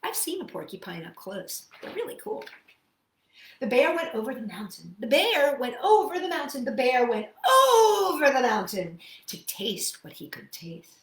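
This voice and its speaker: A woman's voice: high voice, wildly swooping pitch, moderate pace, roomy sound. A woman hosting a children's storytime, reading and chatting expressively and speaking directly to young listeners. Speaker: children's storytime host and singer. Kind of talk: children's storytime read-aloud and sing-along.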